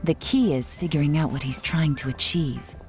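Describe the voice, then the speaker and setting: Low-voiced, composed commanding woman investigator. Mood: calm, reassuring. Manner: calm, measured, authoritative. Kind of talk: video game voice acting. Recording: music playing underneath.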